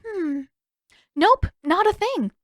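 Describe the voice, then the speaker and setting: A woman voicing a bossy, sharp-tongued teenage girl in an audiobook, firm and sarcastic. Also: extremely high pitch, lively intonation, slow pace, dry recording, clean studio sound, voice pushed up, heavily acted. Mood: teasing.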